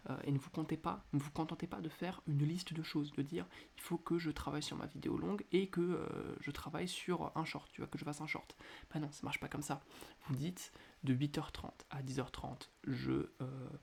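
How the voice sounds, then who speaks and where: A man hosting a casual solo podcast, talking quietly at home with a stuffy nose from a cold, hesitating often with filler sounds. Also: fairly high pitch, steady tone, moderate pace, creaky, slightly rough. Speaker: podcast host. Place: indoors at home at night.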